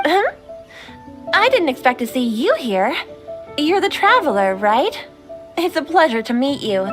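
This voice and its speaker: A courteous, dutiful woman overseer in a video game. Her voice is high and expressive, with formal, composed phrasing. Music runs underneath. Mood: surprised, courteous. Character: polite, dutiful female overseer of a young ninja. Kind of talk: video game character dialogue. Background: background music.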